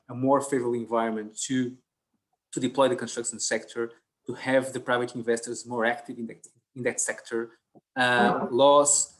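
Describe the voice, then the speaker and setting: A man moderates an online conference panel, his pitch sitting in an ordinary middle range. He talks in a halting way, full of fillers and restarts as he works toward each point.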